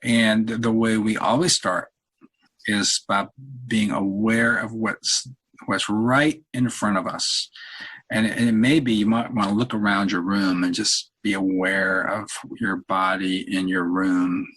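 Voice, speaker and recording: Slow, husky, low-voiced man guiding meditation. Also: rough recording. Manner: calm, unhurried, halting with long pauses. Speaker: meditation leader, podcast host. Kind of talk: guided meditation, softly spoken instruction.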